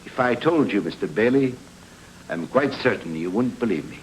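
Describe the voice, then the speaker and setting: Actor as formal, evasive rich gentleman, animated pitch. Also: normal speed, slight background noise, lightly acted, low-quality recording. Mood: measured unease. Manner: precise, courteous, formal. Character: wealthy, formal, evasive husband who shuns attention. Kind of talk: film noir radio drama acting.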